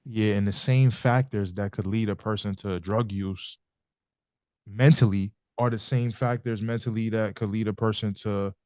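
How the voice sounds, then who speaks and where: A man hosts a podcast interview, posing long, considered questions in a calm, measured way. His voice sits on the low side. The sound is dry and close-miked. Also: no room sound. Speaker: podcast host.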